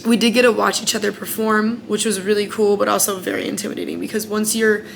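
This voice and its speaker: A young woman with an American accent talks straight to the camera, chatty and frank, with lots of "like" fillers. Her voice is mid-pitched and carries a faint hiss behind it. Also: flat delivery, fast talker, vocal fry. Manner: candid and chatty, many "like" fillers, frank opinions. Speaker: former singing contestant recounting her experience. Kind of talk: casual storytelling vlog.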